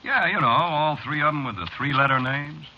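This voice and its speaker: An actor playing an easygoing, slyly ominous bartender in an old-time radio horror drama, with casual, folksy, needling talk. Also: male voice, a little high, brisk, bright, forceful tone. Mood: amused, needling. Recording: noisy, muffled old radio drama recording.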